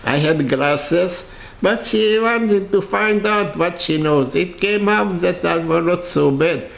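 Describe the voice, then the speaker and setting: An older man with a Hungarian accent tells his story very slowly, his voice sitting a bit high for a man. He stops and restarts his phrases again and again. The room around him is echoey. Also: vocal fry. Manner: halting, with many restarts and repeated words. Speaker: interviewee. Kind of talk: personal storytelling.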